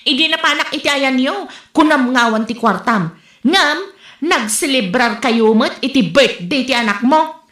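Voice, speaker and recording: Drama role: woman as sulky, entitled elder sister, high sharp voice, Ilocano accent, echoey room. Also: animated, measured pace. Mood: accusing. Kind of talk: Ilocano comedy-drama acting. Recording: roomy echo, faint music.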